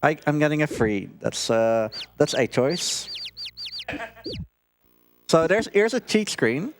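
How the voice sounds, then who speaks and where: A man's voice: a little high, big pitch swings, slow pace, a little hiss or hum. A man giving casual live commentary as a speedrunner playing a game. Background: game music.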